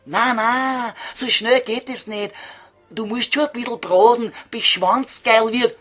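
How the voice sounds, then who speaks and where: A man's voice: very high voice, takes it slowly, a bit bright, heavily acted. A man voicing a sly, crude devil who coaxes and mocks in Viennese dialect, in an audio comedy sketch. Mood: placating, sly. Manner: coaxing, vulgar, mocking.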